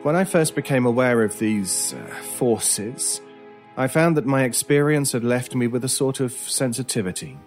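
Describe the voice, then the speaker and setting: A man's voice: mid-range voice, lively intonation, natural voice. Man playing a brooding, mysterious stranger in radio horror. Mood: grave, explanatory. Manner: deliberate, theatrical, dramatic pauses. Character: intense mysterious male stranger, brooding paranormal expert. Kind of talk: old-time radio horror acting. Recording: music under the voice. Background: music.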